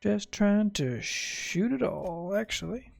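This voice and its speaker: A game streamer giving casual, playful commentary, muttering asides in a creaky voice. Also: mid-range voice, animated, slow pace, soft background music.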